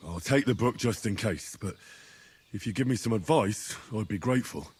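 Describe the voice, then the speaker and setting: An actor playing an earnest, polite young commoner hero in a medieval game. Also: male voice, on the low side, lively intonation, moderate pace, a little mellow, breathy edge.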